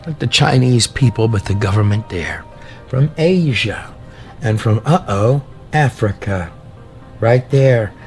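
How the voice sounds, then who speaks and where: A man delivering a dramatic sermon and reading a prophecy aloud, theatrical and emphatic, drawing out phrases and posing rhetorical questions. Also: mid-range voice. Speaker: preacher and storyteller. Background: background music.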